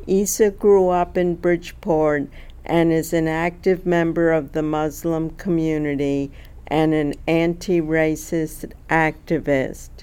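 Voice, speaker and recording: A woman interviewer asking measured, formal questions in an oral history interview. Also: deep voice, steady tone, dark tone, clean tone.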